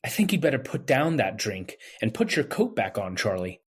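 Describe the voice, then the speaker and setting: A man's voice: fairly low pitch, big pitch swings, moderate pace, slightly rough. A man playing a wronged young medical intern, bitter and brooding, in old-time radio drama style. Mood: indignant.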